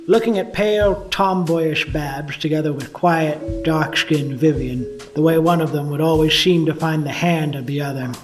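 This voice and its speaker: A man's voice: fairly high pitch, distant mic, natural voice, poor audio. Hard-boiled male detective narrator acted by a man, cynical and wry, noir radio play, slightly off-mic. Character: cynical, world-weary 1950s police detective.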